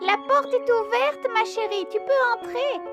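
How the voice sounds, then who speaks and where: Elderly storybook grandmother, very high voice, slow, affectionate, dull recording, music behind. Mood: frail, welcoming. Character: kindly, frail old grandmother calling out slowly. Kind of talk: children's storybook cartoon acting.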